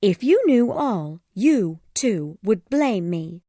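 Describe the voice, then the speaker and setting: An anxious young woman, guarded and sorrowful, pleading in a raised, strained voice, as a male storyteller puts her on. Her speech swings up and down with lively pitch and drawn-out, slow phrasing. The sound is close and dry. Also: dry recording, exaggerated voice. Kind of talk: audiobook character voice.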